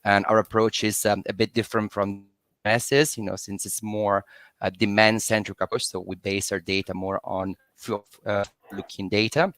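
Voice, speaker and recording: A man's voice: lowish voice, level tone, normal speed, fairly bright tone, no room sound. A man introducing himself on an online panel over a video call, speaking hesitantly with many fillers. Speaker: panelist, tech company representative.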